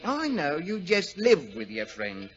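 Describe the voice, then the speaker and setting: An actor playing a chatty, folksy railway guard with a working-class English accent and a sniffly nose, in 1940s radio drama style. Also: male voice, on the high side, wildly swooping pitch, unhurried. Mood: rambling, wistful. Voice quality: sneezy, stuffed-up with a cold. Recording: muffled vintage radio broadcast with music.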